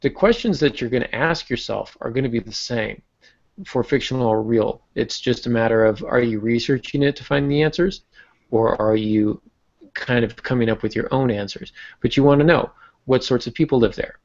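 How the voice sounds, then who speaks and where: A man's voice: medium pitch, moderate pace, creaky, darkish tone, dull audio. A man talking as a podcast host and writing teacher, explaining informally, stressing key words and posing rhetorical questions. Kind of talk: conversational teaching about writing.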